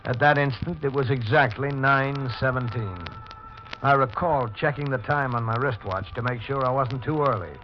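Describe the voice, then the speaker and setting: Man playing a stern police lieutenant, mid-pitched, deliberate and precise, old radio drama, muffled sound with music behind. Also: close to an everyday voice. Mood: grave, precise. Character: stern, methodical male police homicide lieutenant giving testimony. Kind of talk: 1940s radio drama acting.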